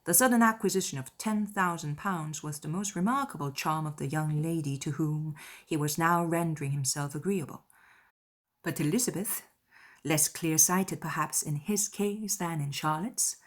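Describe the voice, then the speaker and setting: Woman reading an old literary novel, slightly low voice, measured and formal audiobook narration.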